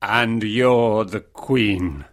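A man plays a lonely beast, lord of a castle, in a storybook tale. He speaks very slowly and solemnly, with courteous manners and a kind heart beneath the ugliness. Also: on the low side. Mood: courteous, tentative. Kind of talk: dramatised storybook reading for learners.

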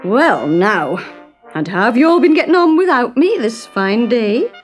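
A woman's voice: on the high side, big pitch swings, unhurried, soft background music, voice pushed up. A woman voicing a cheerful, boastful working-class father. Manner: hearty, pleased with himself.

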